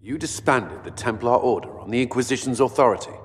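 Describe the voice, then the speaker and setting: An actor playing a disapproving, skeptical military commander in a video game. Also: male voice, mid-range voice, animated, brisk, long reverb tail, lightly acted. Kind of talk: video game dramatic acting.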